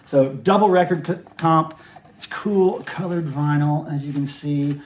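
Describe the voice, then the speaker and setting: A man's voice: a little high, unhurried, slight background noise. A man talking casually and offhandedly as he shows off his record collection, rambling in a conversational way. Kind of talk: casual show-and-tell vlog about records.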